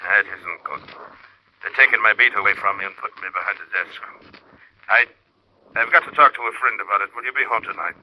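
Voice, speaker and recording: Sharp-voiced, brooding veteran cop. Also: male voice, lowish voice, fairly quick, long pauses. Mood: worried, grim. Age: middle-aged. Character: weary, proud veteran beat policeman, troubled and brooding. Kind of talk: 1940s-50s American radio drama acting. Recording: dull, band-limited old radio recording.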